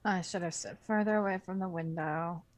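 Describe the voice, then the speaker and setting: A woman playing a grateful, earnest young heroine in a romance story, speaking softly. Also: lowish voice, fairly even intonation, moderate pace, lightly acted.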